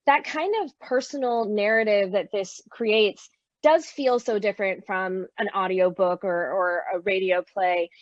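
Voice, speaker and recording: A woman's voice: medium pitch, measured pace, dry recording, highs cut off. A woman moderating a panel interview over a video call.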